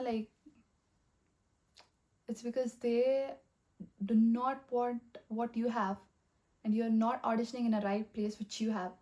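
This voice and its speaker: Earnest young woman, slightly raised pitch. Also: poor audio. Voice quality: soft, slightly husky singer's voice. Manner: rambling, earnest, many fillers. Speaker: vlogger. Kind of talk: casual motivational monologue.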